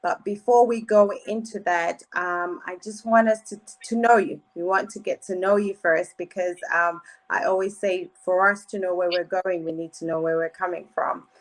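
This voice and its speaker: Female radio host, lower-pitched, emphatic interviewer. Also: fairly even intonation, normal speed, few pauses, rough recording.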